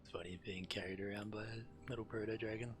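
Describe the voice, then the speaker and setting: A man streaming a game, reading quest text aloud in a measured way, now and then stumbling and correcting himself. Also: fairly low pitch, steady tone. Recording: game music behind the voice. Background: video game music.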